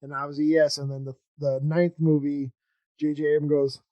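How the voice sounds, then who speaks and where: A man with a slightly high voice chats casually as a podcast host. He rambles and backs up as he thinks aloud. The sound is dry and close, with little room on it. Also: flat delivery, a little fast, dry recording, highs cut off. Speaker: podcast host interviewing a guest. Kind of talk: casual chat.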